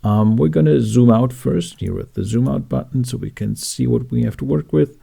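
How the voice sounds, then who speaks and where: A man narrating a how-to tutorial, explaining things step by step with deliberate phrasing and pauses. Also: medium pitch, gravelly, warm tone, husky. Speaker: tutorial host. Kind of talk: instructional narration for a how-to video.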